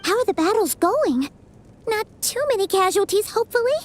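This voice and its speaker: A woman voicing a tiny, childlike female fairy companion in a video game, squeaky, chatty and inquisitive. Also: expressive, a little fast, soft background music. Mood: curious, concerned. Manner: exclamatory, refers to herself by name. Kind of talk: animated video game voice acting.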